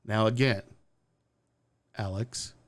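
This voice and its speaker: A man's voice: on the low side, steady tone, slow. A man hosting a live-streamed talk show, talking casually and bluntly with sarcastic asides and swearing. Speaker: podcast host. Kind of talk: casual opinionated chat.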